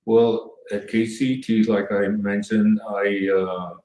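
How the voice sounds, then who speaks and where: A man from Southern California telling stories as an interview guest, rambling with many pauses and restarts. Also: fairly low pitch, little pitch movement, warm tone, far from the mic. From Southern California, near the Mexican border. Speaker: guest, former TV cameraman. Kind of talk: casual storytelling interview. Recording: echoey room, voice from off mic.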